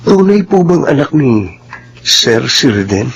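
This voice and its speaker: A man's voice: medium pitch, big pitch swings, a little slow, rough recording. A man playing a respectful, loyal household employee, polite and earnest, in a Filipino radio drama. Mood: earnest, troubled.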